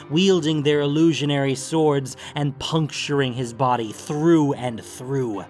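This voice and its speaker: A man's voice: medium pitch, a little mellow. Male narrator for scripted fantasy fiction, theatrical and grave, medium register, music bed. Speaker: narrator of a fantasy story podcast. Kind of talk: dramatic scripted story narration. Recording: music under the voice.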